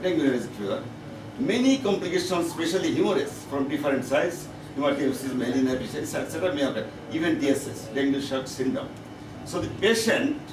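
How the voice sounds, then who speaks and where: A man with a Bangladeshi accent giving a medical conference lecture as a professor, in halting, repetitive, emphatic phrases. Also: a little high. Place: conference meeting room. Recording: echoey, noisy room, distant microphone.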